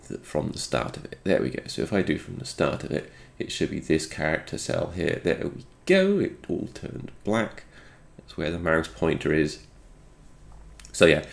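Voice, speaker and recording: Deep, gravelly, slightly congested man. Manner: thinking aloud, musing. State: congested, recovering from a cold. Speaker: host of a retro game programming video. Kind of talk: unscripted technical screen walkthrough.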